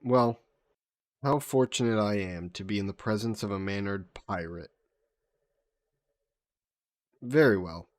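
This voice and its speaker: A man voicing a vain sea monster who poses as a mannered gentleman in a roleplay audio drama, speaking in suave, formal phrases. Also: fairly low pitch, moderate pace, no echo, clean studio sound, lightly acted. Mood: playful, flirty. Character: flirty, vain sea monster posing as a gentleman traveler, turning menacing.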